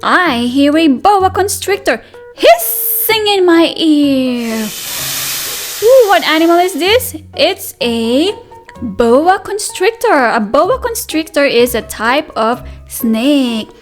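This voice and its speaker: High, animated woman teaching young children. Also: slow pace, faint music behind. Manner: sing-song, addresses small children with questions and repetition. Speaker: preschool teacher and read-aloud host. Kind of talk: interactive children's read-aloud lesson.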